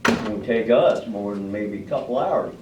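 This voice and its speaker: A man's voice: fairly low pitch, moderate pace, a little mellow, lightly acted. A man playing a folksy, laconic small-town American farmer and family man in a live readers theater play. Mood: neighborly. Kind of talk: readers theater stage acting. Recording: echoey, dull, distant, noisy room recording.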